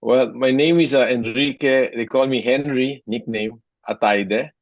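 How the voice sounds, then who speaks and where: A man's voice: medium pitch, steady tone, unhurried, dry recording, muffled recording. A man, answering interview questions hesitantly, often restarting his sentences. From Philippines. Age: 60s. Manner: hesitant, with frequent restarts and short laughs. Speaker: interview guest. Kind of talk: conversational interview.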